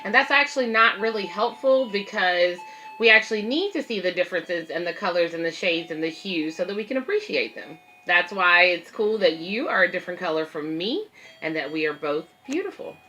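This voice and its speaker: A woman's voice: lowish voice, lively intonation, a little fast, sharp tone, faint music behind, poor audio. A woman hosting a children's read-aloud, reading a picture book and explaining it simply to young listeners.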